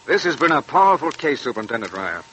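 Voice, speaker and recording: A man's voice: medium pitch, big pitch swings, fast, pushed and bright. A man hosting a 1940s radio crime show in a formal, scripted style. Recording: noisy, clicking 1940s radio recording.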